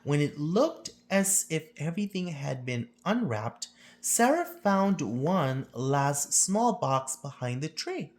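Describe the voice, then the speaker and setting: A woman reading a children's picture book aloud as a storyteller, with theatrical phrasing and drawn-out emphasis. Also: deep voice, sing-song, darkish tone.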